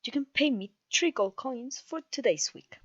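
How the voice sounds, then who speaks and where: Woman voicing a clumsy cheerful witch, high voice, Mexican accent, theatrical and genteel, dry close recording, ASMR roleplay. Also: animated, moderate pace, no room sound, muffled recording. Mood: welcoming, instructive. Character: clumsy, kindly, cheerful young witch teacher. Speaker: voice actor.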